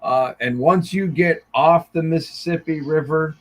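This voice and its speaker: A man's voice: fairly high pitch, unhurried. Profane rambling man. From Iowa. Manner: profane, rambling, repeats "I mean". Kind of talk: casual chat.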